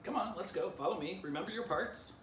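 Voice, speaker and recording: A man's voice: high-pitched, brisk, a bit bright, reverberant, far from the mic, a little hiss or hum, voice pushed up. A man reading a storybook aloud as a bossy, pompous, self-important female dog with theatrical delivery.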